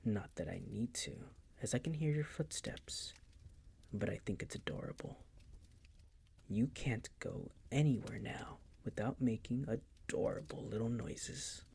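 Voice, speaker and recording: A man playing a possessive, condescending vampire master in an intimate roleplay audio, teasing in a breathy, gravelly, often whispered voice. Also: medium pitch, darkish tone. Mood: possessive, amused. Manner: slow, teasing, condescending purr.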